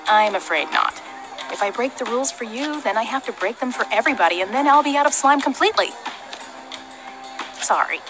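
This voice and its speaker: An actress playing a weary, rule-bound stall vendor in an adventure game, speaking matter-of-factly. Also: female voice, on the high side, animated, a little fast, long pauses, close to an everyday voice. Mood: apologetic refusal. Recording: game music behind the voice.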